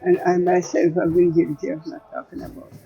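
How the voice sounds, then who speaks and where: A woman's voice: lowish voice, level tone, fairly quick, warm tone, faint music behind. An older woman, speaking as a guest and poet in dull, lo-fi audio. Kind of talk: reminiscing in conversation and reciting own poetry.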